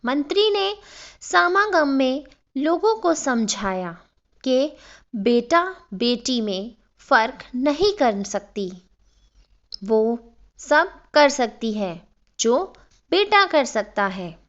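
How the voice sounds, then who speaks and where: Female storyteller, high voice with animated pitch, delivering scripted emotional narration phrase by phrase on a dull lo-fi recording. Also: drawn-out words. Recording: dull, lo-fi sound.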